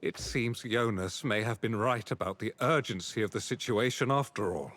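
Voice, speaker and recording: Actor as a low-voiced, sober fantasy commander. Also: male voice, a bit bright, close to an everyday voice. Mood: grave, pleased. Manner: formal, measured wording. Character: sober male military leader in fantasy war. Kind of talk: scripted video game dialogue.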